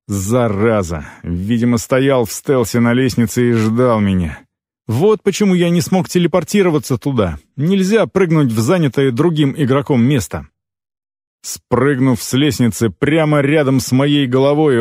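A man's voice: mid-range voice, darkish tone, no echo. Dry, close-sounding male audiobook narrator. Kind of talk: scripted first-person narration of a fantasy novel.

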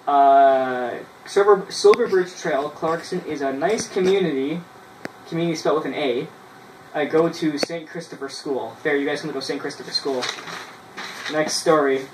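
A man, casually reading his old writing aloud in a halting way, with self-mocking asides, in a small bedroom. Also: fairly high pitch. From Canada. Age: 20s. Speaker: vlogger. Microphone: camera a few feet away. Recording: roomy, distant mic with noise.

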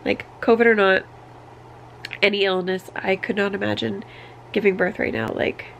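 Very creaky-voiced woman, stuffed up. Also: medium pitch, little pitch movement, normal speed, slight background noise, rough recording. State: congested with a cough, sick. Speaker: family vlogger. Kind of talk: casual personal vlog update. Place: small bedroom, indoors. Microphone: camera held at arm's length.

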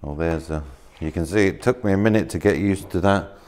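A man in his thirties casually showing materials at a workshop table, talking in a relaxed, rambling way with plenty of fillers and restarts. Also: sits low, fairly quick. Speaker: maker demonstrating laser-cut materials. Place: workshop, indoors, at a work table.

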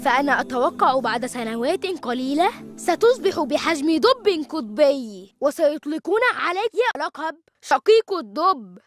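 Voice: high voice, sing-song, moderate pace, faint music behind. An actor voicing a cheeky young boy in a cartoon, who teases and mocks his siblings with sarcasm. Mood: teasing. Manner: sarcastic, teasing. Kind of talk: animated cartoon dialogue.

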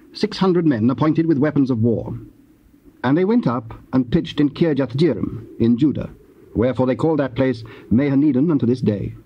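A man narrating scripture as a measured, formal scripted reading. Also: mid-range voice, slightly rough. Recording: dull old cassette audio.

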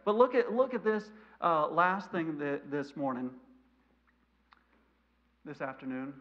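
A man in his thirties with a mild stutter, preaching a sermon in a church sanctuary, animated and gesturing, swinging between loud emphasis and quiet asides. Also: a little high, measured pace. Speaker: missionary preacher. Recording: lo-fi church recording.

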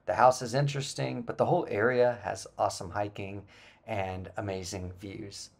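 A man in his forties narrating a travel vlog about a hike, relaxed and explanatory, with frequent filler words. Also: on the low side, normal speed, creaky. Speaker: travel vlogger. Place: indoor room, then voice-over on hike footage.

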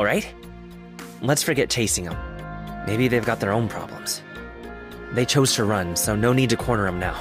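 A man's voice: medium pitch, lightly acted. A man voicing an earnest, humble, eager young engineer in a video game, with casual, clipped, sincere phrasing. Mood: determined, thoughtful. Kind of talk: scripted game character voice lines.

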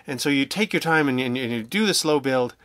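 Bright-voiced man chatting as an interview guest. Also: medium pitch, a little fast, dry recording. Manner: animated storyteller, self-deprecating joking, acts out quoted remarks. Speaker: guest, audio drama writer and producer. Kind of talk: casual interview chat.

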